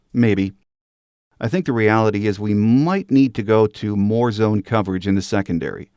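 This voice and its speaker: Low-voiced man hosting an echoey sports podcast. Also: darkish tone, studio quality. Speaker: podcast host. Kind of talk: solo sports podcast commentary, prepared monologue.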